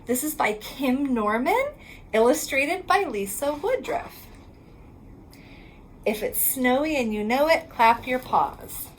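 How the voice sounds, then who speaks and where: A woman with a very high voice reads a children's picture book aloud. She goes slowly and in a sing-song rhythm, stretching the ends of lines, and her pitch moves up and down in a lively way. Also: a little hiss or hum, poor audio. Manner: sing-song rhyming delivery, drawn-out line endings. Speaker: reading specialist reading aloud to children.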